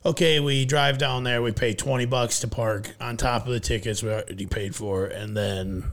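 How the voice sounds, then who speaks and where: A man's voice: medium pitch, steady tone, moderate pace. Joking man hosting a podcast at home. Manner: joking, rambling, many fillers. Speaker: podcast host. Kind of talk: casual chat.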